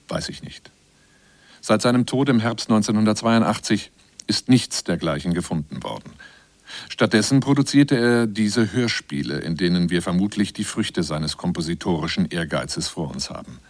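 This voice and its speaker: A man reads scripted narration for a radio feature as its presenter. His voice sits on the low side, and the recording is dry and close, with little room sound. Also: dry recording.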